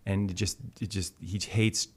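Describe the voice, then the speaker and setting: A man's voice: low voice, flat delivery, a little slow, husky. A Canadian man co-hosting a podcast, chatting casually, often hesitant and trailing off mid-sentence. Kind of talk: casual chat.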